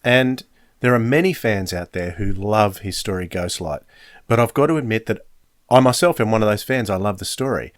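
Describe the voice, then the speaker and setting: A man hosting a review podcast, giving conversational, measured and explanatory opinions. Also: lowish voice, no room sound, studio quality. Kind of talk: spoken review, conversational commentary.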